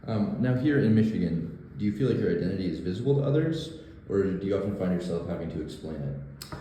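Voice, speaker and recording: A man conducting an interview, asking questions in a calm, measured way. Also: low-pitched, a little hiss or hum. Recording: echoey room.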